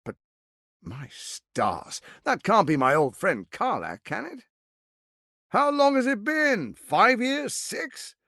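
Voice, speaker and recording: A male actor playing a suave, scheming, power-hungry lord in a video game, with a theatrical, measured, silky politician's delivery, ruthless and charming. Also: high-pitched, sing-song, bright, forceful tone, dry recording, studio quality, heavily acted. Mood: smooth, charming. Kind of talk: dramatic video game voice acting.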